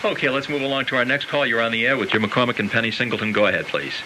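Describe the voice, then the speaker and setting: A man's voice: mid-range voice, rapid-fire, pushed and bright. A man hosting a live radio call-in interview, with an enthusiastic, affirming manner. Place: radio studio. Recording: noisy old cassette of radio broadcast.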